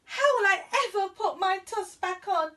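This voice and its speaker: Woman playing a high, childlike boy elephant god. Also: normal speed, recorded from a distance. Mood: dismayed. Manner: childlike, earnest, expressive. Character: young boy elephant-headed god, sweet-loving, proud, childlike. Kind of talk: children's storybook reading.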